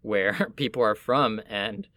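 Halting, low-voiced man in casual talk. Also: pushed and bright, no echo. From Puerto Rican family, Miami. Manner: halting, searching for words. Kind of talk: casual reflective conversation.

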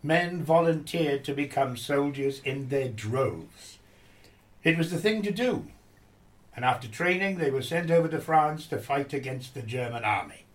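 A man's voice: mid-range voice, animated, a little slow. A man reading scripted historical narration for a radio play.

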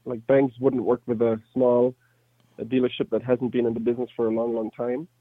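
A man in his thirties with a light German accent chats as an interview guest, telling stories in a casual, conversational way. His medium-pitched voice comes through narrow and phone-like, with a dry sound and no room echo. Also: level tone, a little fast. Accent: slight German. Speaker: interview guest, startup entrepreneur.

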